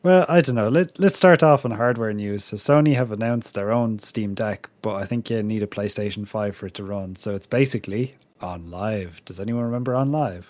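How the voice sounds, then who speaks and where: A man's voice: fairly low pitch, fairly quick, hardly any pauses, a little mellow. A man hosting a casual panel podcast with wry, jokey banter. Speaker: podcast host.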